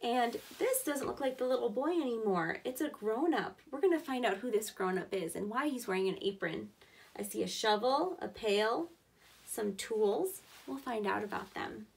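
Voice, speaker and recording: A woman's voice: fairly high pitch, expressive, normal speed. A woman in her thirties reading a picture book aloud to preschoolers as their teacher, with simple, encouraging explanations, recorded indoors a short distance from the microphone. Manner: clear, simple, encouraging address to young children. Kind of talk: children's story read-aloud with explanatory asides.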